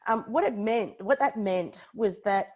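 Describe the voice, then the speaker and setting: Australian female, 40s, conversational podcast guest, chatty and informal, mid-range pitch. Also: measured pace, a little mellow. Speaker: podcast guest, personal branding expert. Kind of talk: conversational interview, recounting own career.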